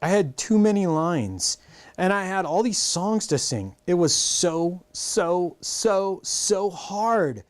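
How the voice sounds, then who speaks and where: Storybook schoolgirl, played by a man: talkative, helpful, rambling explanations, animated pitch. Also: slow. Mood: gushing. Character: chatty, bossy, helpful schoolgirl.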